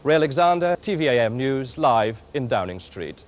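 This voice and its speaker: A man's voice: mid-range voice, sing-song, moderate pace, highs cut off. A man giving a live political news report as a correspondent. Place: outdoors on a London street.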